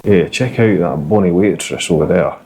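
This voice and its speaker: A cheeky, crude Glaswegian man speaks in a broad Glaswegian accent, voiced by a man. The voice is low and brash. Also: moderate pace, warm tone, slightly rough.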